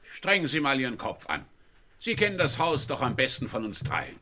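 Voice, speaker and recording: A dry, official police inspector in a radio crime comedy. He commands briskly with hugely varied pitch at a middle register. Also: very animated, pushed and bright. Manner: official, dryly sardonic. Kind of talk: German radio crime play acting.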